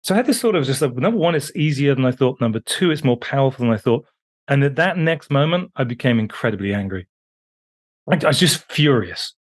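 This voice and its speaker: A British man in his fifties, a guest author on a remote call, telling his story in a lively way that jumps up in pitch for emphasis. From Britain. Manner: animated, pitch jumping up on emphasis, frequent restarts. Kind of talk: conversational interview storytelling.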